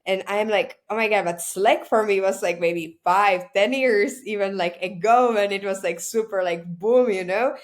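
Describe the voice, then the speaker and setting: Czech-accented woman host, halting. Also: medium pitch. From Czech Republic. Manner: hesitant, many "uh" fillers, searching for words. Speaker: podcast host. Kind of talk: casual interview chat.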